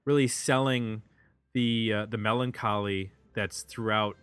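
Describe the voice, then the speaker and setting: A man with an American accent casually discussing an album as a podcast host, halting and reflective as he thinks aloud. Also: lowish voice, fairly even intonation, a bit bright, clear, resonant tone, soft background music. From United States.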